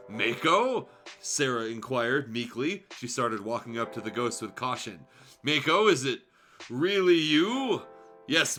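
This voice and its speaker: A man's voice: medium pitch, pushed and bright. A man streaming a fan fiction read-aloud, switching between narration and wry, sarcastic, sweary commentary.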